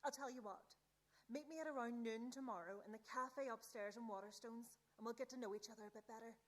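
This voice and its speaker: A woman plays a friendly teenage girl who is secretly a punk. The voice is high, and the radio drama delivery is eager, earnest and upbeat. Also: brisk, lightly acted. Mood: friendly, teasing. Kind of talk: radio play acting.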